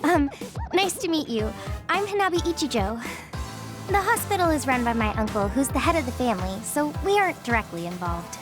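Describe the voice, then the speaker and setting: A high, sweet young recruit. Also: female voice, sing-song, a little fast, music in the background. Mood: polite, bashful. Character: polite, eager, sweet young female recruit. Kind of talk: English anime game voice acting.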